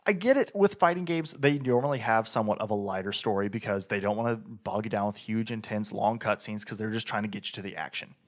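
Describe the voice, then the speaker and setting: A man's voice: lowish voice, fast, hardly any pauses. Rambling male podcast co-host. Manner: rambling with many fillers and restarts. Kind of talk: casual game review chat.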